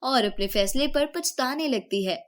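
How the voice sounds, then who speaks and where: A woman narrating a moral story in an even, storytelling delivery. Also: high-pitched, measured pace.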